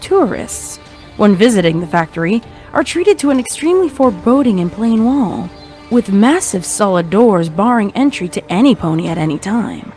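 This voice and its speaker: A man reading a scripted dark horror story as its narrator. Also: sits high, lively intonation, moderate pace, faint music behind. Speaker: fan fiction narrator.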